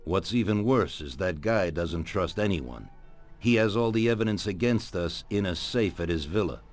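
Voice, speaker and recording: Crime game mob boss: very deep, measured, calculating, used to obedience, music underneath. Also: male voice, animated. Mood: calculating, grave. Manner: measured, commanding. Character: authoritative, calculating older mafia boss giving orders. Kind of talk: dramatic video game dialogue.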